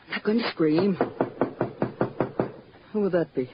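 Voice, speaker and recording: A woman's voice: on the low side, unhurried, heavy background noise, lightly acted. An actress playing a proud, frightened young woman in trouble, in haughty 1940s radio drama style. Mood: anxious. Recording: muffled old radio recording.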